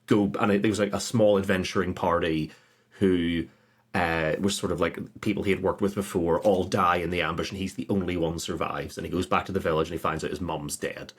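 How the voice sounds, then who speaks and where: A husky-voiced man chatting casually and anecdotally as a game master guest. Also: low-pitched, steady tone, fairly quick. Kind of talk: casual anecdotal chat, with scripted dramatic trailer narration.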